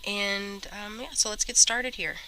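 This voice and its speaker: A woman with a stuffy, slightly rough voice from a cold, chatting casually as she gives a tarot reading. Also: medium pitch, fairly even intonation, a little slow, sharp tone, slight background noise, rough recording. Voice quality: a little rough. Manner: chatty, many fillers and restarts. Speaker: tarot reader.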